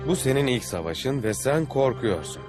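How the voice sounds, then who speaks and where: A man's voice: medium pitch, very animated, moderate pace, natural voice. A man playing a seasoned Venetian explorer captain in a dubbed cartoon, earnestly recounting his adventures. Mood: calm, reflective. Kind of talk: dubbed cartoon dialogue and storytelling. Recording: background music under the voice.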